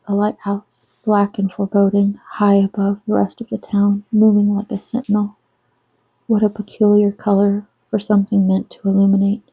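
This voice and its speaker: Woman, soft-spoken ASMR reading, very flat and even delivery, gravelly vocal fry, close dry mic. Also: mid-range voice, dry recording, low-quality recording. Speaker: author reading her own novel.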